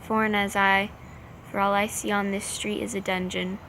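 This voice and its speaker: A woman reciting slam poetry in deliberate, measured short phrases. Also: mid-range voice, flat delivery, fairly bright tone. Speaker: slam poet. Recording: faint background noise.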